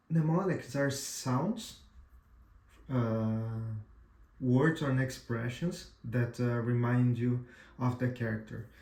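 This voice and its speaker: Male instructor, native Portuguese speaker, mellow tone, casual and halting, echoey room with faint hiss. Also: medium pitch. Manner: halting, frequent "uh" and restarts, checks in with "right?". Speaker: amateur radio instructor. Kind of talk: casual screen-demo tutorial.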